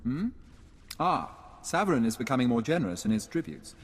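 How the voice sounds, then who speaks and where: An actor playing a gracious, self-satisfied noble in a video game. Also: male voice, mid-range voice, big pitch swings, a little fast, breathy edge. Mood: pleased. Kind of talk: scripted video game voice acting. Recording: hall reverb.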